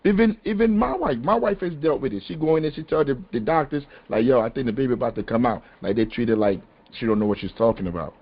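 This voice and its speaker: Animated man on a lo-fi call. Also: medium pitch, fast talker, few pauses. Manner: animated, conversational, rising to exasperated emphasis. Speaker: podcast host. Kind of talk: casual discussion on a call-in podcast. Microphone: phone or conference call. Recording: dull lo-fi call audio.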